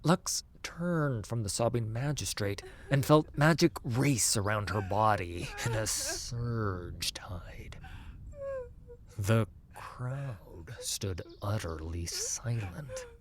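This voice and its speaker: A man narrating a fantasy story in an intense, dramatic scripted style, dropping at times into hushed tones. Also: lowish voice, lively intonation, slow. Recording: music under the voice. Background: music.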